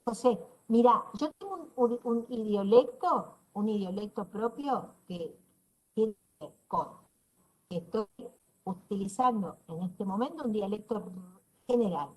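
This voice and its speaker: A woman's voice: mid-range voice, expressive, measured pace, husky, low-quality recording. Woman lecturing with a northeastern Argentine accent. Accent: northeastern Argentine Spanish. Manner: explanatory, checks in with "¿sí?" often. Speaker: university lecturer. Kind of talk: online class lecture. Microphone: video call.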